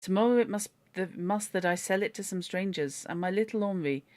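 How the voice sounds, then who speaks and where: A woman's voice: medium pitch, fairly quick, darkish tone, natural voice. A woman voicing a tearful aristocratic lady who speaks in broken, formal English. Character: tearful aristocratic French lady, middle-aged.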